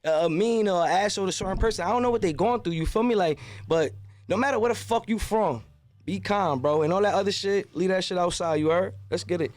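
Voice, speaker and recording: A man's voice: high voice, fairly quick, darkish tone, no room sound. A man hosting a podcast in casual chat, slangy and hyped, chiming in often. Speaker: podcast host.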